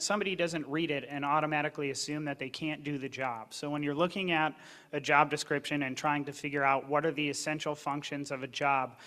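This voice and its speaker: Panelist, male, higher-pitched voice, earnest and explanatory. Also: pushed and bright. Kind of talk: panel discussion.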